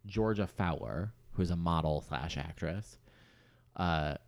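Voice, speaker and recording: A man hosting a podcast, chatting casually about pop culture and telling things in an animated, rambling way. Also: deep voice. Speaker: podcast host.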